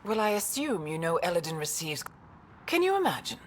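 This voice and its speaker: A woman's voice: fairly low pitch, big pitch swings, fairly quick, a little hiss or hum. A woman playing a stranded, exasperated scientist in a video game, talkative and wry. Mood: brisk, wry. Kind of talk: game dialogue acting.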